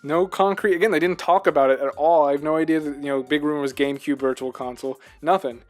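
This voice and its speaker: Higher-voiced man chatting animatedly about games. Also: fairly even intonation, fast talker. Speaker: podcast co-host. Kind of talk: casual video game discussion.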